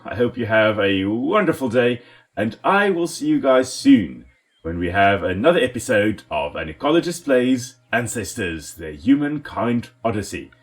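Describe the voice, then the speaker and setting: A man from South Africa with a South African accent and Afrikaans as his first language, giving casual, enthusiastic gameplay commentary as a let's play host. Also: mid-range voice. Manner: enthusiastic, chatty, talks to the animals on screen.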